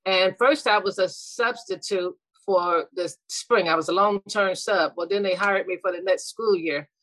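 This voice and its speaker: A woman's voice: medium pitch, moderate pace, low-quality recording. A middle-aged woman, a retired school administrator, talking earnestly and reflectively in an interview, often restarting her sentences. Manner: earnest, reflective, with frequent restarts and soft laughs. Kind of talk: casual interview conversation.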